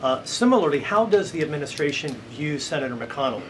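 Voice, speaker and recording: A man working as a reporter, putting formal questions in a measured, methodical way at a press briefing. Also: a little high, sing-song. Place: indoor press briefing room. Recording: noisy live briefing room.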